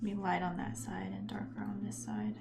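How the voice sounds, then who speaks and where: Creaky-voiced woman artist musing aloud. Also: mid-range voice, little pitch movement, moderate pace, over music. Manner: musing, thinking aloud in trailing fragments. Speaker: artist streamer. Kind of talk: casual live drawing stream commentary.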